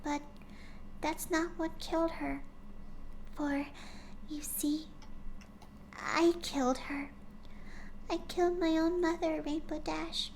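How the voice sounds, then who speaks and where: A woman putting on a hushed shy pony girl. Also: sits high, level tone, slow pace, long pauses, a little hiss or hum, voice pushed up, exaggerated voice. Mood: soft, sorrowful. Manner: soft, breathy and hushed. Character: shy gentle young female pegasus pony. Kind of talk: fanfic reading aloud.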